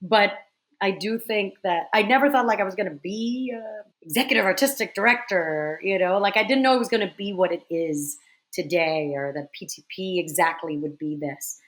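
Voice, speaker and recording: A woman in her forties from the Dominican Republic, telling stories in a casual interview with quick, animated restarts. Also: mid-range voice. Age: 40s. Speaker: guest, theater director.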